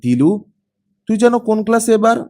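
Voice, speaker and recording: Audiobook role: breezy brotherly guy, acted by a male reader, blunt and teasing, very lively pitch swings. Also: moderate pace. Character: breezy, teasing man, older brotherly family acquaintance. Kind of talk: audiobook character acting.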